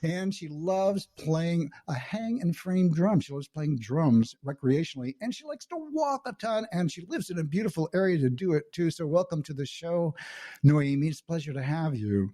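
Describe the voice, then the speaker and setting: An American man from the Midwest hosting a podcast interview, relaxed and rambling, asking curious questions. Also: on the high side, normal speed. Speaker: podcast host. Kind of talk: casual interview chat. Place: Pacific Northwest home.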